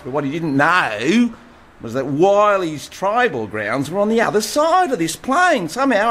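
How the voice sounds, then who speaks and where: A man's voice: sits high, a bit bright, heavily acted. An actor with an Australian accent playing a brash, profane bohemian man telling a swaggering yarn in a radio play. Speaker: bohemian blues musician and storyteller.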